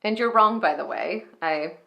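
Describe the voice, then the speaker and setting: A woman's voice: medium pitch, normal speed, vocal fry, sharp tone. A woman playing an earnest, flustered young shopgirl in a romantic comedy film monologue, hesitant, confiding and wistful. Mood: brightly conciliatory.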